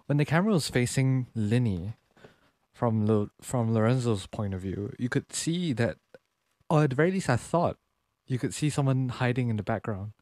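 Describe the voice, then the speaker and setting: A man's voice: medium pitch, lively intonation, normal speed, darkish tone, no room sound. A man giving casual, laid-back live commentary as he streams a game, often muttering short reactions.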